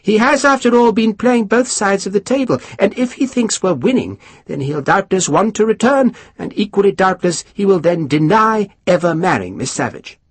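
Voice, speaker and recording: A man's voice: sits high, animated, moderate pace, hardly any pauses, breathy edge, dry recording, voice pushed up. A man voicing a foppish, sly English lord who speaks with exaggerated civility and relishes gossip. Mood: gleeful. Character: foppish high-voiced English lordship, sly and amused.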